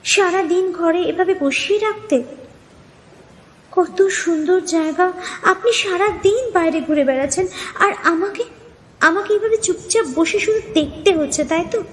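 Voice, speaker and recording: A woman's voice: very high-pitched, measured pace. An actress playing a playful, headstrong young woman in an audio horror story, teasing and pouting expressively. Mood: pouting, complaining. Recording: long reverb, music underneath. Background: music.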